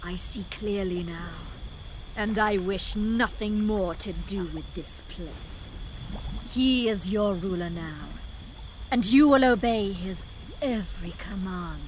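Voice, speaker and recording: A woman voicing a haughty, scheming dark fairy queen in a video game, cold and mocking. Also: mid-range voice, takes it slowly, a little hiss or hum, close to an everyday voice, low-quality recording. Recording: game cutscene with loud music.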